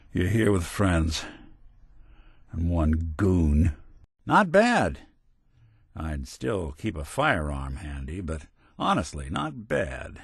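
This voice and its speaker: An actor playing a world-weary, wry but kindhearted android detective in a video game, with hardboiled old-fashioned slang. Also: male voice, low voice, creaky, breathy edge, dry recording, clean studio sound, exaggerated voice. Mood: reassuring.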